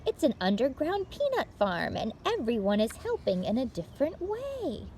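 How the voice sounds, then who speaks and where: A woman hosting story time for young children, reading aloud in an animated, encouraging way with simple phrasing. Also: high-pitched, moderate pace, slight background noise.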